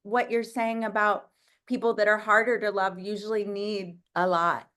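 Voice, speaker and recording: A woman hosts a casual video call. She has a loud voice and an effusive manner, often trailing off and restarting her sentences. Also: medium pitch, little pitch movement, moderate pace. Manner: effusive, hesitant, with many restarts. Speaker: host. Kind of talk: casual hosting chat.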